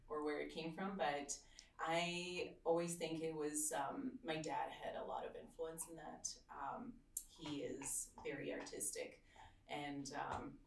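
A woman in her thirties from Slovakia, a swimwear designer, talking in a casual interview with many ums and soft laughs. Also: low-pitched, gravelly, roomy sound, distant mic, slight background noise, rough recording. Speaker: swimwear designer and business owner.

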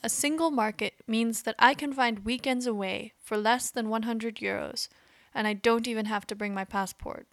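A woman hosting a podcast, giving measured, explanatory scripted narration. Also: a little high, steady tone, no echo. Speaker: podcast host.

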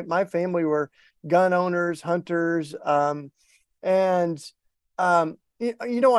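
A middle-aged man with an East Tennessee Southern accent, talking casually as an interview guest, folksy and relaxed, with many restarts and fillers. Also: high voice, dry recording, studio quality.

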